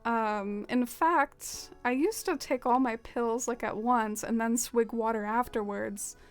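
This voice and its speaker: A chatty young woman with a Southern accent rambles and giggles at herself as she streams a game. Her voice sits a little high, and she fills her talk with lots of "like." Soft game music plays faintly behind her. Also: slow. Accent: Southern American. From American South. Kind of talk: casual live-stream chat while gaming.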